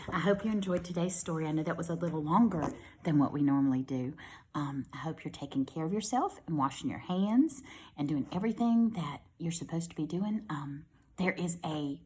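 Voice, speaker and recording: Animated woman reading to children. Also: low-pitched, moderate pace. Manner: expressive, speaking to young children. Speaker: kindergarten teacher reading to pupils. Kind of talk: animated storybook read-aloud with casual asides.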